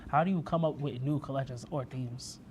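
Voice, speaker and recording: A man's voice: medium pitch, brisk, background noise. A young man hosting a student talk show, asking questions in laid-back slang like "bro" and "word.". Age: young adult. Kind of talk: casual interview.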